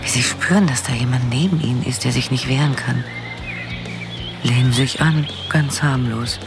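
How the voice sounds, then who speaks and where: Brooding, low-voiced woman gardener. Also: moderate pace, over music. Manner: brooding, musing to herself. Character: brooding, bitter woman gardener watched by her neighbours. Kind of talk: radio drama inner monologue.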